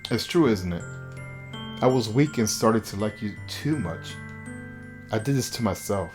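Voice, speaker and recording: A man acts out a fragile, lovesick schoolgirl from a visual novel. The delivery is slow and halting, pleading and full of self-blame, with music behind it. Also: lowish voice, lively intonation. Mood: anguished. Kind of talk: visual novel character reading.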